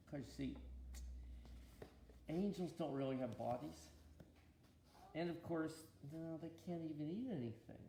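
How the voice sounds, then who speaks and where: An older man reading a children's storybook aloud in an expressive, grandfatherly way, with deliberate pauses and chatty asides. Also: a little high, gravelly. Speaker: bishop reading Bible stories to his granddaughter. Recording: echoey room.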